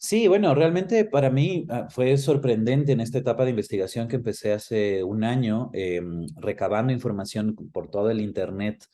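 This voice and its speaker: A man's voice: mid-range voice, moderate pace, hardly any pauses, warm tone. A man of about forty with an Ecuadorian accent, answering interview questions in a reflective, explanatory way and often searching for words. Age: around 40. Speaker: interview guest.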